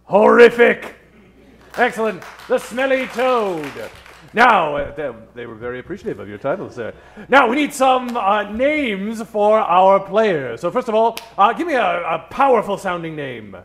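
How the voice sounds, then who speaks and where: Male improv MC, theatrical forties-radio showman, high voice with big swoops, working a live theater stage. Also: sing-song, measured pace, gravelly, a bit bright, a little hiss or hum. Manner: theatrical, mock-formal showman. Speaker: stage MC warming up audience. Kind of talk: improv comedy hosting in 1940s radio manner.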